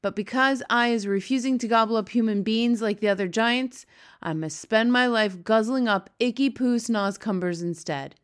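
A woman's voice: fairly high pitch, moderate pace, pitched above the performer's own voice, natural voice. A woman voicing a very old, kindly male giant who talks with mangled grammar and made-up words. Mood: rueful.

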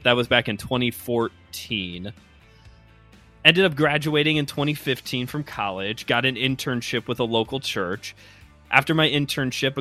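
Bright-voiced Chicago man telling a story. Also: medium pitch. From Chicago area, US Midwest. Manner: friendly storytelling with fillers. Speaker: guest host, preacher. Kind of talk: casual podcast chat.

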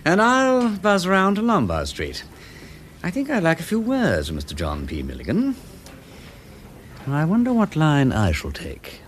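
An actor playing a breezy, inquisitive aristocratic gentleman detective in a radio drama, with an upper-class English accent and airy slang. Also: on the high side, wildly swooping pitch, a little slow, noisy background. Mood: breezy, affable. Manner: airy, drawling slang, casual questioning.

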